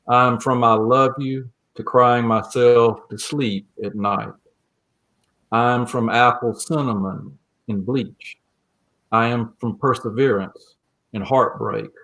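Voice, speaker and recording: A man speaking as an interview guest, reflective and measured, with a gentle, earnest manner. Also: mid-range voice, fairly even intonation. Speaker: guest, creative writing teacher. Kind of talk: reflective interview talk and poem reading.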